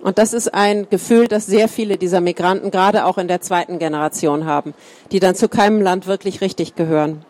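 A woman reading a first-person account aloud in a calm, measured way. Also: on the low side. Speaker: reader.

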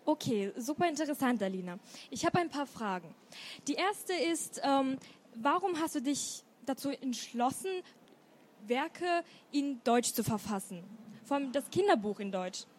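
Eine Frau, die als Moderatorin eine Autorin auf einer Buchmesse vorstellt und ihr Fragen stellt. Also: female voice, high-pitched, expressive, unhurried, slight background noise. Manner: reads intro, asks friendly questions. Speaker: event moderator. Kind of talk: book fair interview.

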